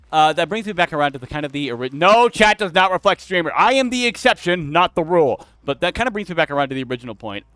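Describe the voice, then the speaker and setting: A man's voice: fairly high pitch, pushed and bright, clear, resonant tone, dry recording. A young man streaming, chatting casually and rambling, often cutting himself off to answer chat. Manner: rambling and self-interrupting, talks back to chat.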